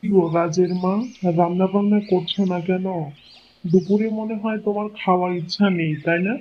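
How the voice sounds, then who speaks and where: A man's voice: high-pitched, steady tone, brisk, slight background noise, natural voice. A man voicing an affectionate elderly father-in-law in a cartoon, speaking in a coaxing, fatherly way. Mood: teasing. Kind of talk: cartoon dubbing. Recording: muffled lo-fi audio.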